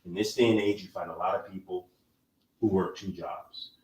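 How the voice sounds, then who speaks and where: A man's voice: deep voice, fairly even intonation, normal speed, a little mellow, roomy sound, recorded from a distance, rough recording. A man hosting a podcast, lecturing in an emphatic, preachy way during a casual discussion. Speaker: podcast host.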